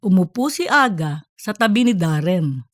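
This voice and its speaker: A woman's voice: fairly low pitch, big pitch swings, moderate pace, no echo, studio quality. A woman reading scripted narration for a comedic fiction story. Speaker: audiobook narrator.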